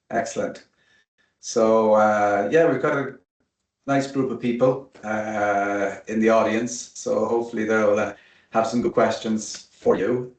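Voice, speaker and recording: A man hosts an online interview over a video call in a loose, chatty way, with jokes at his own expense. His voice sits in the middle range. It sounds roomy and a little distant from the mic. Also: mid-range voice. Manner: chatty, jokey and self-deprecating. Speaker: host of an online webinar. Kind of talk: casual interview hosting.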